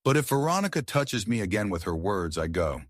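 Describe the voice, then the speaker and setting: A man voicing a gentle, composed housekeeper woman, speaking softly and quietly. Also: fairly low pitch, very animated, a little fast, no echo, studio quality. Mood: tender.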